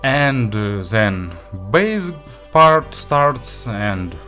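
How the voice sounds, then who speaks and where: A man giving a spoken audio gear review, phrasing things haltingly but with enthusiastic emphasis. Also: mid-range voice, very animated, pushed and bright, faint music behind.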